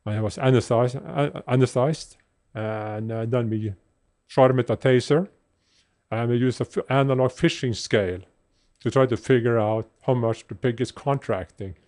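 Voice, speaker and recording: A man with a Norwegian accent talks through engineering stories in a plain, matter-of-fact way. His voice sits at an ordinary middle pitch, recorded on a podcast mic. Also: normal speed, a little mellow. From Norway. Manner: plainspoken, technical storytelling. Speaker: guest engineer. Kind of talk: casual chat.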